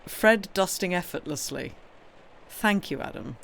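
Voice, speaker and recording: A woman's voice: fairly low pitch, lively intonation, measured pace, creaky, low-quality recording. British female streamer, informal and playful, meandering self-interrupting chat, slight background noise. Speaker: livestream host and reader. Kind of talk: casual livestream chat.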